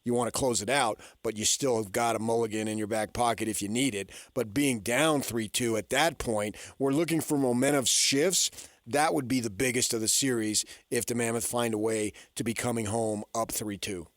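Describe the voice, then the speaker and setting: A middle-aged man hosts a sports radio show in a casual, rambling way, thinking aloud as he goes. His voice is mid-pitched and recorded close and dry, with no room sound. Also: fairly even intonation, normal speed, dry recording.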